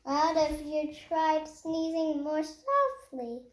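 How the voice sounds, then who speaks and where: A woman voices a perky young female pig from a picture book, high and childlike. She is a caring friend, full of simple questions, heard in an echoey room. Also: sing-song, takes it slowly, heavily acted. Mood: concerned. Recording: roomy echo, faint background noise.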